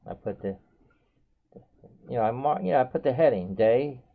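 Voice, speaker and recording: An older man giving rambling advice in a halting, repetitive way, often correcting himself. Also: mid-range voice, expressive, vocal fry. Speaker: consumer vlogger. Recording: thin phone-like sound.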